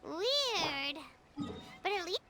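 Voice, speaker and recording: A woman voicing a tiny childlike female fairy companion in a video game, with a squeaky voice. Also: very animated, drawn-out words, heavily acted. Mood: sheepish, puzzled. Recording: loud music underneath. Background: game music.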